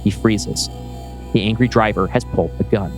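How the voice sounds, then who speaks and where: A man's voice: lowish voice, normal speed. A man reading scene directions from a script as a podcast host. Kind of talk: scripted narration of a screenplay. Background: music.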